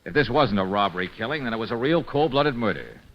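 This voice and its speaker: An actor playing a hard-boiled private detective in an old-time radio drama, sardonic and probing. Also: male voice, mid-range voice, lively intonation, fast. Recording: dull lo-fi old radio recording.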